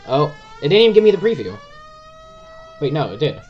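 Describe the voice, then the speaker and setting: Excitable young man narrating gameplay. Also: fairly high pitch, a little fast, highs cut off, a little hiss or hum. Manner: excited, urging on a teammate. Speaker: gamer. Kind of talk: casual gameplay commentary.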